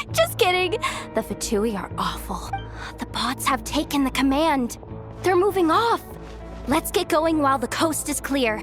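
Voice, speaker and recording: A plucky young female mechanic from a video game, speaking in a high, lively voice. She takes her time, chatty and expressive as she thinks aloud, with some music underneath. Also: a little slow, heavily acted. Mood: playful. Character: spirited young female mechanic, self-doubting but plucky. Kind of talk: animated video game voice acting.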